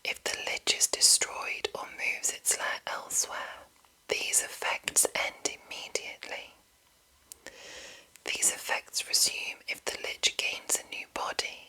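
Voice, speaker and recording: A woman whispering in a breathy, unvoiced ASMR style, reading deliberately with pauses between short phrases. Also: pushed and bright, poor audio. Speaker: ASMR host. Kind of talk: whispered ASMR reading of fantasy game rules.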